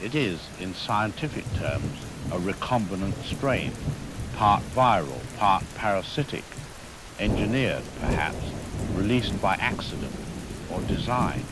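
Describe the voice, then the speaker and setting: An actor playing a grave, erudite virologist in a radio drama, speaking formally and deliberately with weighty pauses and cold fatalism. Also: male voice, low voice, expressive. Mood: grave, clinical. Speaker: expert guest. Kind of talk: scripted radio drama interview. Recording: hiss, rain and thunder noise. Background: rain, thunder, hiss.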